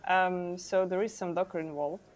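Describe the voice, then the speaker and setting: A woman from Ukraine, an engineer guest, chatting casually on a podcast and pausing often with ums. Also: fairly low pitch, fairly even intonation. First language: Ukrainian or Russian. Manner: thoughtful, many ums and pauses.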